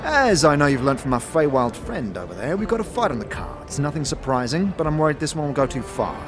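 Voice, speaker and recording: A man voicing an excitable innkeeper and showy salesman with rapid-fire, rhyming patter in a fantasy audio game. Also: a little high, pitched above the performer's own voice. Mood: brisk, bargaining. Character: manic, fast-talking male innkeeper and showy salesman. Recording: music under the voice.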